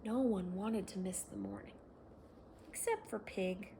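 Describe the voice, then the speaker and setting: A woman hosting a children's read-aloud, telling a bedtime story in a gentle, expressive way. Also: medium pitch, a little slow, creaky, slight background noise. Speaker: children's story reader and host.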